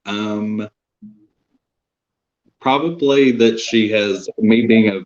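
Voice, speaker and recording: A man from Houston, speaking earnestly and haltingly as a show guest. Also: fairly low pitch, a little mellow. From Houston, Texas. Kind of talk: casual interview. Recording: muffled call audio.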